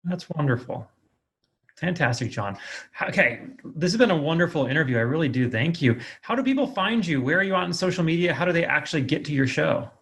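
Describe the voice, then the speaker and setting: Higher-voiced man hosting an interview. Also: animated, a little fast, a bit bright. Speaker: podcast host interviewer. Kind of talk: interview, encouraging conversation.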